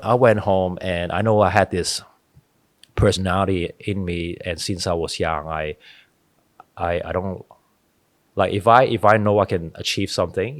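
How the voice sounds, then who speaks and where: A man's voice: deep voice, no echo. A middle-aged man, a podcast guest telling stories earnestly, often restarting his sentences. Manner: earnest, storytelling, frequent restarts. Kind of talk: casual interview talk.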